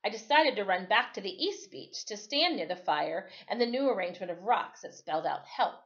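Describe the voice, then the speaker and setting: A woman reading a story aloud in an expressive, dramatic storytelling way, like a teacher. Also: mid-range voice, fairly quick. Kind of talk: read-aloud storytelling with casual asides. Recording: dull, lo-fi sound.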